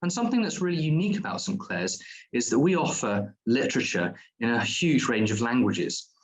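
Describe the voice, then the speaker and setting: A man's voice: medium pitch, big pitch swings, fairly quick, poor audio. A man presenting a school's diploma courses at an online open day, explaining at length with frequent ums and restarts.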